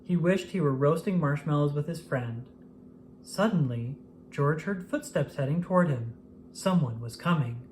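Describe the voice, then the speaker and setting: An expressive storybook narrator reads aloud for young children with a pitch that moves up and down freely. The delivery is scripted and acted out, over faint background noise. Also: moderate pace, rough recording. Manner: expressive, acts out exclamations and dialogue.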